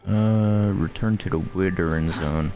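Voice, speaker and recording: A man's voice: sits low, a little slow, mellow. A man doing casual gameplay commentary as a streamer, hesitant and thinking aloud. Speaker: video game streamer. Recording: faint game music behind.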